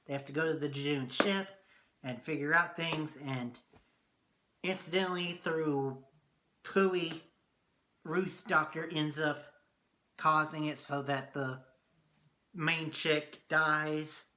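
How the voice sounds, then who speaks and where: A man reviewing a TV episode in a casual vlog, excitable and swearing often, his voice going hoarse. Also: a little high, takes it slowly, pauses often, a little hiss or hum. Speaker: TV review vlogger.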